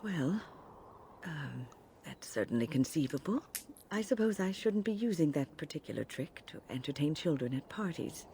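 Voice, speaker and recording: A woman playing a gentle, wry older mage in a video game, speaking in a measured, reflective way. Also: low voice, animated, a little hiss or hum. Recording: game audio under clear music.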